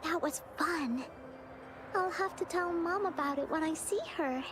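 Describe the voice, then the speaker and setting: High-pitched excitable little girl. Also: unhurried. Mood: curious, cheerful. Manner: cheerful, exclamatory, childlike. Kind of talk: video game voice acting. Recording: loud music behind the voice. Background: game music.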